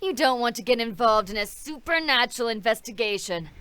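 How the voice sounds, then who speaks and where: A woman's voice: a little high, expressive, brisk, fairly bright tone, clean tone, dry recording. A woman voicing a cold, powerful female devil who talks down to everyone. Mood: mocking. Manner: taunting, condescending.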